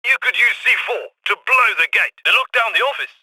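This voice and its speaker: An actor playing a brisk, wry male heist planner giving orders over comms in a video game. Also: very high voice, lively intonation, pushed and bright, breathy edge, no echo, exaggerated voice, poor audio. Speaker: mission coordinator.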